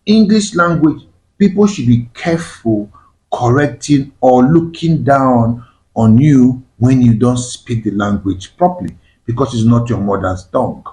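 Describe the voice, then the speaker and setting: A man's voice: medium pitch, measured pace, a little mellow. A man whose first language is Igbo, hosting a panel discussion and asking his guests pointed questions with emphasis. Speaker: host. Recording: echoey room.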